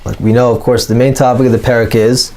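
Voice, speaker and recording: A man's voice: medium pitch, little pitch movement, fast, mellow. A man teaching Talmud in an explanatory lecture, working through source texts with rhetorical questions and Hebrew and Aramaic terms mixed into his English.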